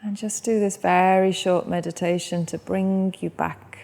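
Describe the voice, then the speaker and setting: A woman who teaches yoga, leading a guided meditation in a soft, gentle, unhurried way. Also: fairly low pitch, fairly even intonation, creaky, long reverb tail. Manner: soft, slow and gentle guidance.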